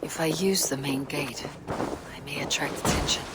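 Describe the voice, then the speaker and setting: A woman's voice: sits low, normal speed, heavy background noise, lightly acted. An actress playing a bold, vengeful Viking warrior woman in a video game, speaking in terse, commanding statements. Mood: watchful, muttering. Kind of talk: dramatic game dialogue.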